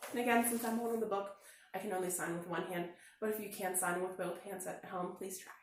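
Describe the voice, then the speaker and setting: A woman teacher speaking slowly and clearly, encouraging children through a signing lesson and read-aloud. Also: mid-range voice, steady tone, a little hiss or hum. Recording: very echoey room.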